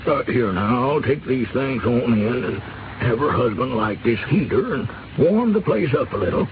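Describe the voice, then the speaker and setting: An actor playing a kindly, amiable American country doctor in a 1930s radio drama. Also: male voice, medium pitch, expressive, moderate pace, natural voice. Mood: reassuring. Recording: noisy, muffled 1930s radio recording.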